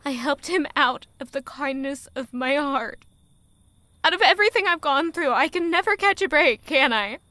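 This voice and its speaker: A woman's voice: sits high, expressive, sharp tone, dry recording. A woman playing an anguished, weary young village girl in a dramatic, emotional audio drama monologue. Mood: distraught, indignant. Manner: emotional, slow, deliberate delivery with rhetorical questions.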